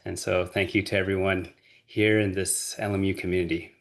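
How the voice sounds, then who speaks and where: A man speaks as an award recipient, offering informal, earnest thanks. His voice is a bit on the low side. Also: little pitch movement, fairly quick, gravelly, fairly bright tone. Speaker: award recipient, university faculty member. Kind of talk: informal thank-you speech.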